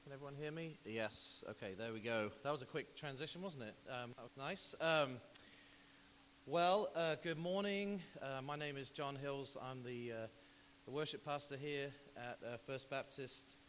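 A man preaching an informal church sermon as a pastor, conversational and emphatic, his voice slightly croaky from recovering from an illness. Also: mid-range voice, moderate pace, a bit bright. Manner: conversational, hesitant asides mixed with emphatic repetition. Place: church hall, preaching to congregation. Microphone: church microphone. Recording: hissy, very reverberant hall recording.

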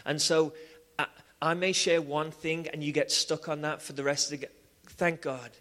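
A man with a Liverpool accent preaches to a church congregation, his speech animated and full of restarts and fillers. His voice sits a little high for a man. Long reverb from the hall trails behind every phrase. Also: normal speed. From England. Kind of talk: sermon with personal testimony.

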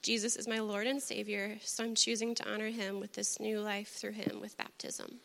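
Woman, gravelly voice, earnest read-aloud testimony, reverberant church hall. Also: medium pitch, level tone, moderate pace, heavy background noise. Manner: earnest, reading from notes. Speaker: baptism candidate giving testimony. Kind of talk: reading a prepared testimony. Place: church sanctuary. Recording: echoey hall with long reverb.

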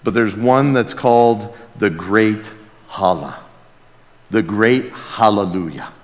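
A man preaching a sermon and reading scripture aloud with emphatic, reverent delivery. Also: mid-range voice. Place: large church hall before a congregation. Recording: reverberant large hall, amplified live sermon.

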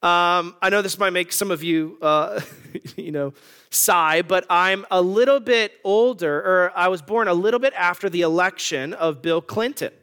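A man's voice: sits high, normal speed, fairly bright tone, smooth. A man in his thirties preaching a sermon on marriage in a conversational, joking way, asking the congregation questions. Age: 30s. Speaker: pastor.

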